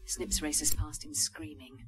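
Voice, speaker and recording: A woman reading stage directions at a live script reading, brisk and amused, with the odd slip. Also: sits low, flat delivery, over loud music, rough recording. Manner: brisk, amused, occasional slips.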